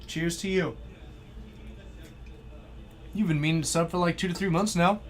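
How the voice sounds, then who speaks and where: An excitable man hosting a live stream, giving play-by-play card game commentary and effusively thanking his viewers. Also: fairly high pitch, normal speed. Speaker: stream host and match commentator.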